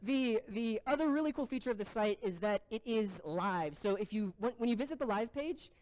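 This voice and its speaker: Casual woman host, mellow voice. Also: a little high, lively intonation, brisk, poor audio. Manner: casual, joking, plain. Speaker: event host. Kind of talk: explaining a website, introducing speakers.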